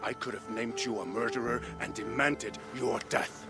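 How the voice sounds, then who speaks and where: A man playing a stern, commanding Viking jarl in a video game, speaking with measured authority. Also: medium pitch, steady tone, over music, natural voice. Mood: stern anger. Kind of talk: dramatic video game acting.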